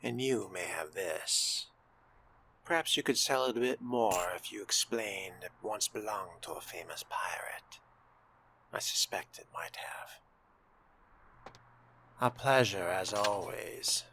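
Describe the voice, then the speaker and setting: A man voicing a suave, courtly vampire fledgling in game roleplay, with a breathy, hushed voice and formal, genteel wording. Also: fairly low pitch, slow pace, long pauses, slight background noise, heavily acted, low-quality recording. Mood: smooth, scheming. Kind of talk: in-character game roleplay narration.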